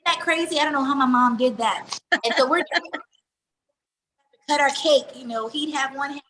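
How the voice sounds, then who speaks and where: A high-pitched woman chats easily about home and family, slipping in slang and quick laughs. She grew up in Houston after being born in New Jersey. A faint noise floor sits under the recording. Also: pushed and bright, poor audio. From New Jersey, raised in Houston. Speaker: R&B singer, guest. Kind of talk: casual interview chat.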